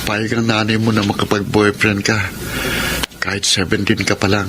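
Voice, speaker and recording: An actor playing a smug young suitor in a Filipino radio drama. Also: male voice, fairly low pitch, flat delivery, normal speed, background noise, natural voice. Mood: pleased, casual.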